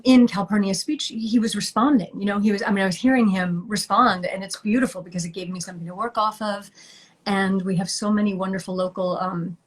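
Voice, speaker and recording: A woman with a medium-pitched voice talks as an actress guest, chatty and lively, often breaking into a laugh. She speaks from home over a video call. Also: rough recording. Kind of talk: interview chat.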